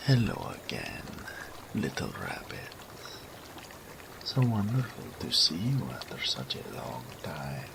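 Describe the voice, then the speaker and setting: A man playing a suave, flirtatious naga oracle in a roleplay audio drama, formal and languid, purring and sometimes breathy, speaking of himself in the third person. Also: low voice, exaggerated voice. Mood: delighted, teasing. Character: suave, flirtatious male naga oracle, self-assured and teasing. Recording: steady water and ambience noise.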